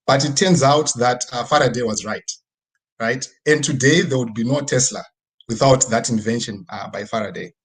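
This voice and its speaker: Zimbabwean male speaker, mid-pitched and husky, conversational motivational talk over video call. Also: moderate pace. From rural Midlands region of Zimbabwe. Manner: conversational, frequent tag questions seeking agreement. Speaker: guest speaker. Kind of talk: personal motivational presentation. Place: online video presentation with screen sharing.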